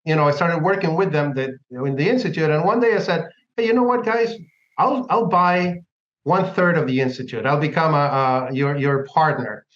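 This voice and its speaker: A man's voice: on the high side, normal speed, fairly bright tone. A man from Argentina with an Argentine Spanish accent, a guest telling his life story in a casual interview, often hesitating and restarting his sentences. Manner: hesitant, many restarts, laughs easily. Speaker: guest, laundromat owner and business broker. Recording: echoey room, dull lo-fi sound.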